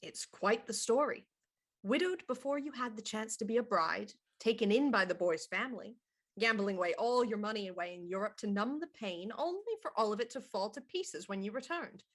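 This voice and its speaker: A woman's voice: mid-range voice, lively intonation, brisk, lightly acted. A woman playing a shrewd, probing police inspector in a radio murder mystery, pointed and theatrical. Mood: coolly probing.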